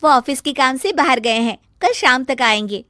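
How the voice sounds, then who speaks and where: Woman doing a young cartoon wife, very high voice, bright, polite, chirpy. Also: animated, moderate pace, dry recording, studio quality. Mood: polite, eager. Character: polite, eager young married woman, sweet daughter-in-law. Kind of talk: Hindi cartoon story dialogue.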